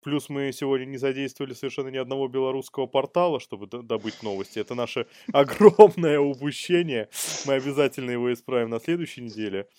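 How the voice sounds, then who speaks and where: A man's voice: mid-range voice, fast, darkish tone, dry recording, clean studio sound. A man co-hosting a comedy news show, mixing read-out items with playful, irreverent, slangy commentary. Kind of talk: news read-outs mixed with casual joking commentary.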